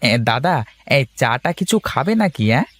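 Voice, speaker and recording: A man's voice: medium pitch, very animated, normal speed, a bit bright, no echo. A man voicing a deferential, sympathetic cartoon tea-stall keeper who hesitates as he begins his phrases. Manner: hesitant, starts phrases with a drawn filler. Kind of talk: acted cartoon dialogue.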